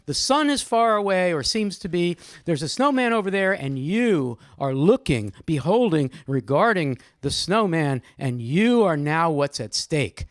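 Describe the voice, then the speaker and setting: Male discussion leader, high register, swooping intonation, encouraging and inquisitive, live group setting. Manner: animated, questioning, encouraging. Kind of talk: lively seminar-style poetry discussion. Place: live group event with audience microphones.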